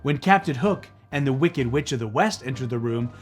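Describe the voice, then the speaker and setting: A male comedian reads a children's story in a theatrical, playful style. His medium-pitched voice moves expressively over faint music. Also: expressive, fairly quick. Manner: dramatic, silly, playful. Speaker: comedian reading children's books aloud.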